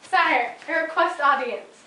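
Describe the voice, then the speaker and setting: Woman as urgent court messenger, high-pitched, earnest, amateur stage drama, faint noise. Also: measured pace, bright, forceful tone. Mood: urgent, deferential. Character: urgent, anxious royal messenger pleading with king. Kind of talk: amateur stage-play acting. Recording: distant echoey room, faint background noise.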